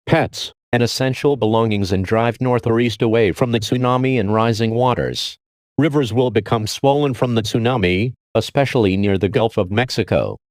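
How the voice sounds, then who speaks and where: A man's voice: medium pitch, no echo, clean studio sound. A man delivering a scripted emergency alert as an announcer.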